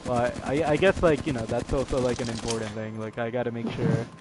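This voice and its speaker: A man's voice: medium pitch, level tone, brisk, a crowd in the background. A young man chatting casually on a stream, rambling with lots of fillers and restarts. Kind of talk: casual chat. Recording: game gunfire noise behind the voice. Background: video game gunfire and clicks.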